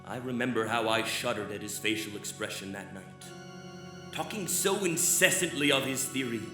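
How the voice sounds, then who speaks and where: A man's voice: medium pitch, lightly acted. A man playing an anguished occult scholar in a theatrical old-time radio drama, speaking in formal, grave, emphatic phrases. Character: anguished, haunted male occult scholar giving a statement. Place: theater stage, live performance. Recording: roomy live stage, music underneath. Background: clear music.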